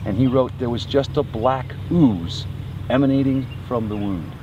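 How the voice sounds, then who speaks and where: A man working as a tour guide, telling a dramatic history story to tourists in deliberate, short phrases. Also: medium pitch, lo-fi sound. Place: outdoors on a battlefield. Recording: clear outdoor ambient noise.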